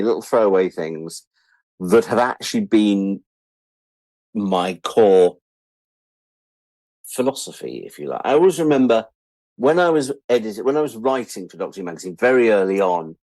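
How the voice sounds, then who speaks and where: A man's voice: lowish voice, very animated, normal speed, darkish tone, dry recording, poor audio. An older man with a British accent telling rambling, candid anecdotes as an interview guest, sweary and self-deprecating. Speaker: interview guest, writer-producer.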